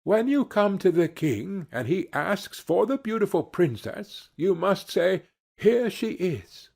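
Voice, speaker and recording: A man voicing a wise old fox who gives earnest, deliberate counsel in a storybook reading. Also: high voice, big pitch swings, creaky, darkish tone, pitched above the performer's own voice, exaggerated voice. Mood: instructing. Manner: instructing, deliberate.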